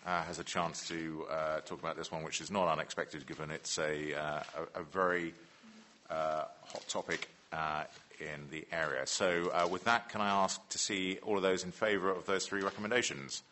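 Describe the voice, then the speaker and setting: A council leader speaks in a deep voice with a bright, forceful edge. He talks formally while calling a vote and fills his pauses with "uh". A faint hiss lies beneath him. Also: level tone. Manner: formal, full of "uh" fillers.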